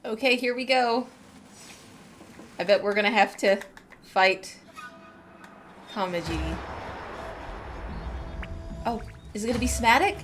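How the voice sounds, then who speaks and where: A woman with a fairly high voice chats casually as a game streamer. She drops short reactions and asides over soft background music. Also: slow pace, pauses often. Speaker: gaming streamer. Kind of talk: casual live commentary on game story.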